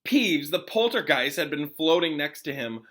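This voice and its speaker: A man's voice: a little high, expressive, moderate pace, bright, forceful tone. A man who is a classroom teacher, reading a storybook aloud expressively with casual, informal asides to pupils.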